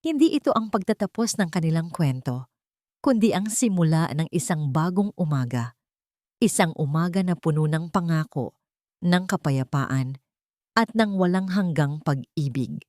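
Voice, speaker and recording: A woman storyteller reads her own romance story in a gentle, measured, heartfelt way. Her voice sits slightly low. The sound is dry and close, with no room echo. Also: expressive. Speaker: storyteller and writer. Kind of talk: scripted story narration.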